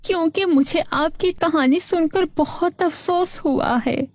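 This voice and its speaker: A woman's voice: very high-pitched, slow pace, dry recording, clean studio sound, voice pushed up. A woman voicing an innocent, gullible young girl in a children's cartoon story, earnest and wide-eyed. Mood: sympathetic.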